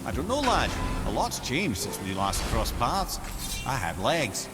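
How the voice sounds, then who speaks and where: A man's voice: medium pitch, huge pitch swings, measured pace, loud music behind the voice. An actor playing a witty, wry old Scottish counselor in a video game, eloquent and theatrical in his storytelling. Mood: wry, grumbling. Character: witty, wry old counselor, a well-travelled talking head. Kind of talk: video game character dialogue.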